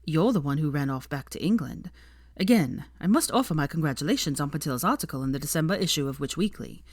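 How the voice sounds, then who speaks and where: A woman's voice: low-pitched, expressive, quick pace, darkish tone, breathy edge, dry recording, studio quality, full character voice. A woman voicing a charming but needling young man with a pointed, sardonic, disapproving manner in a story reading. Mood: smiling, needling.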